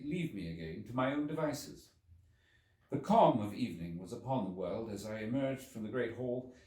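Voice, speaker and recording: A man reading a literary story aloud as an audiobook narrator, with an expressive, measured, theatrical delivery and deliberate pauses. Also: mid-range voice. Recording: roomy echo, distant from microphone.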